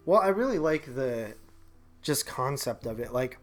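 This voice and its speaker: A man's voice: medium pitch, animated, a little slow. Guy doing laid out movie review chat, slangy n rambly, faint guitar music behind him. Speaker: podcast co-host.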